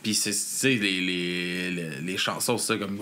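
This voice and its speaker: A man's voice: sits low, measured pace, a little hiss or hum. A Québécois man hosting a casual podcast interview, rambling and laughing easily. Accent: Québécois French. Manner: relaxed, rambling, laughs often. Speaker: podcast host.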